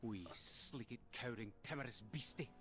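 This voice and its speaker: A theatrical game villain sneers and taunts at a middling pitch, voiced by a man. A loud crowd murmurs behind him. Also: moderate pace, close to an everyday voice. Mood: taunting.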